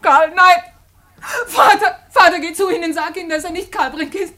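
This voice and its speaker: An actress playing a desperate young married daughter in a radio drama, pleading with emotional urgency. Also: female voice, extremely high pitch, moderate pace, pushed and bright. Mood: tearful pleading. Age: young adult. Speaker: actor. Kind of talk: 1950s German radio drama acting.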